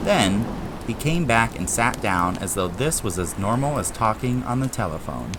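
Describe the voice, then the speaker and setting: A man reading dramatised story narration in an expressive storytelling style. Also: lowish voice, moderate pace, background noise. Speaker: narrator and audio drama maker.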